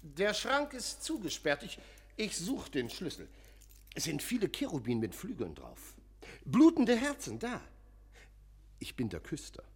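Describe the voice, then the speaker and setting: An actor playing an agitated church sexton in a German radio play, speaking in halting, abruptly emphatic fragments. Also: male voice, high-pitched, huge pitch swings, husky, exaggerated voice. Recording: long echoing church-like reverb.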